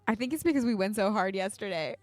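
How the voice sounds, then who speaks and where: A woman's voice: medium pitch, fast, darkish tone, no room sound. A woman co-hosting a casual livestream chat, jokey and hyped, laughing often. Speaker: co-host and singer.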